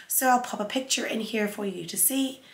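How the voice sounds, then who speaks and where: A woman's voice: fairly high pitch, normal speed. Woman with a cold, echoey room. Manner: chatty, conversational, with fillers. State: has a cold and sore throat. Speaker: sewing channel host. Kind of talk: casual sewing vlog.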